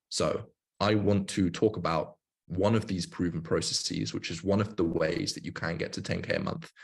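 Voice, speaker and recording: A man in his twenties speaks on the low side of the male range. He sounds like a livestream host and coach, explaining in casual language and repeating points for emphasis. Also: husky. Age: 20s.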